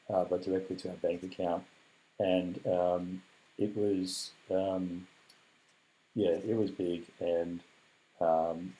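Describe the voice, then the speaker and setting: A man's voice: sits low, mellow. An Australian man with a scratchy, weakened voice, giving a rambling, earnest video update full of ums and thanks. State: weak, recovering from illness. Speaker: cancer patient giving an update. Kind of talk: casual heartfelt video update.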